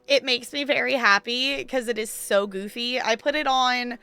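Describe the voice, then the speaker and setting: Chatty, bright-voiced woman streamer. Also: on the high side, steady tone, normal speed, clean tone, no echo, soft background music. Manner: chatty and rambling, laughs easily, talks to chat. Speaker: game streamer. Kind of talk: casual live-stream chat while gaming.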